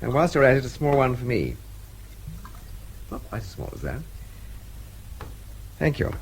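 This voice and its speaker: An actor playing a brash, witty, hard-drinking English agent in a radio drama, flippant and breezy, with an English accent. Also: male voice, medium pitch, big pitch swings, measured pace, vocal fry, slight background noise.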